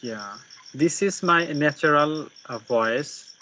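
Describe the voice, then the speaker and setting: Slow, bright-voiced man, noisy line. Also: a little high. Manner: halting, searches for words. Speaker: English learner. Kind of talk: casual practice conversation. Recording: dull, noisy with insect chirps.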